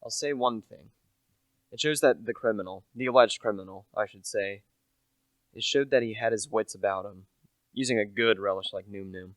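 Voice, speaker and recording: Young man playing a humble, low-voiced salesman. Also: moderate pace, no room sound, close to an everyday voice. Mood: polite, ingratiating. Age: young adult. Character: humble, chatty small-time male relish salesman. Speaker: narrator and lead character. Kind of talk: first-person radio play acting.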